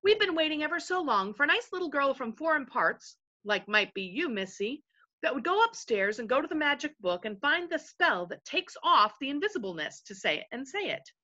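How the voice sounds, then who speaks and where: A woman's voice: high-pitched, big pitch swings, fairly quick, pushed and bright, dull audio, pitched above the performer's own voice, full character voice. A woman voicing a rambling, colloquial leader of invisible folk, a timid bully in a storybook. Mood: chatty, menacing.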